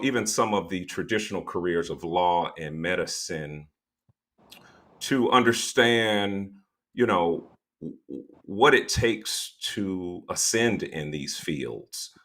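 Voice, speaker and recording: A man's voice: low voice, a bit bright. A man hosting an interview show, a non-practicing attorney, speaking hesitantly with many fillers and restarts.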